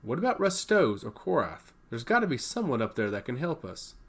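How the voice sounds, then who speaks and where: A man's voice: mid-range voice, sing-song, brisk, a bit bright, husky, heavily acted. Man reading as an earnest, insistent young princess. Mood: hopeful.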